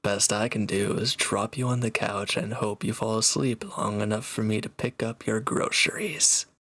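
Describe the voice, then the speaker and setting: A man playing a proud, teasing reformed villain and swordsman in an intimate audio roleplay, talking cockily to one listener in a gravelly voice. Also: on the low side, steady tone, moderate pace, a bit bright, no room sound. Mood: defensive, dry.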